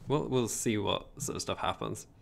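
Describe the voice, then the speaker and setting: Young English male streamer, low gravelly voice, chatty, faint background hiss and keyboard clicks. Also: normal speed. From England. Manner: chatty, rambling, reads chat aloud. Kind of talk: casual livestream chat while gaming.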